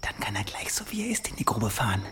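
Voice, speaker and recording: Bold, scheming medieval assassin, swinging pitch. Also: male voice, sing-song, fast, in a whisper. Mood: hushed, bold. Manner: conspiratorial, hushed, commanding. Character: pot-bellied, bold medieval assassin, scheming twin brother. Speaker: actor in an audio drama. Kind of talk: German radio drama acting.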